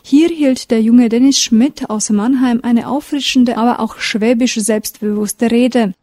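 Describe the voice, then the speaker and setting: A woman's voice: fairly high pitch, fairly even intonation, unhurried, mellow, dry recording, clean studio sound. A woman reading scripted narration for a news report as its reporter.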